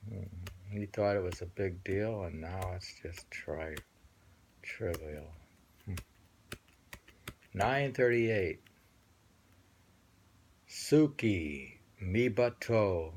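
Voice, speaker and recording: A man reading poems aloud with casual commentary, musing and halting as he goes and often repeating phrases. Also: sits low, darkish tone, a little hiss or hum. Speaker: host reading and discussing poems.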